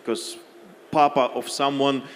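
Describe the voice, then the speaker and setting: A man speaking as a panelist in a relaxed, lightly humorous way. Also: mid-range voice, unhurried. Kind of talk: panel discussion. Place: conference hall. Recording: reverberant hall with faint noise.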